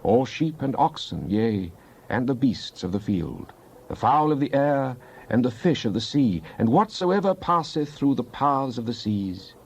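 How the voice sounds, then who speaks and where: A man's voice: medium pitch, sing-song, unhurried, slightly rough, exaggerated voice. A man playing a fervent psalmist in a dramatized Bible reading, solemn and declamatory in archaic scripture language. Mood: exalted praise. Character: fervent psalmist pleading with and praising God.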